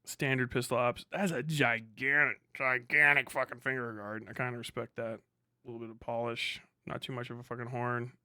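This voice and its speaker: A man's voice: mid-range voice, flat delivery, normal speed, pushed and bright, clean tone, no echo, clean studio sound. A man hosting a livestream with casual, profane, blunt and sarcastic commentary. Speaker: livestream host.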